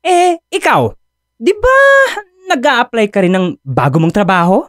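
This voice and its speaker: Bubbly, swooping Filipina best friend. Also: female voice, medium pitch, wildly swooping pitch, a little slow, dry recording, studio quality. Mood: chatty. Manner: sassy, exclamatory, slangy. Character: bubbly, gossipy, teasing young woman friend. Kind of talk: Filipino radio drama acting.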